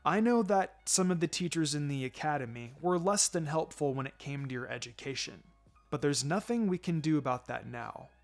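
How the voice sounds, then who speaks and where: A man voicing a calm, firm male ninja teacher in a story, instructing in a measured, matter-of-fact way. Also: on the high side. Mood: stern. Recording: music under the voice.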